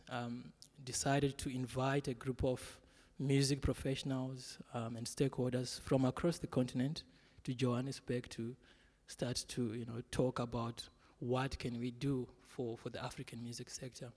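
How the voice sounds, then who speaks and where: A man's voice: medium pitch, darkish tone. Man presenting in a reverberant hall. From Zimbabwe. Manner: measured, with frequent fillers. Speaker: guest speaker. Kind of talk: panel presentation. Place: large exhibition hall.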